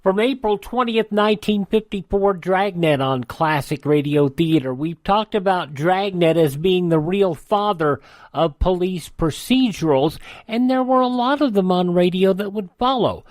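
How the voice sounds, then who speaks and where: A man's voice: sits high, a little slow, darkish tone, dry recording. An American man hosting a radio show in a conversational style.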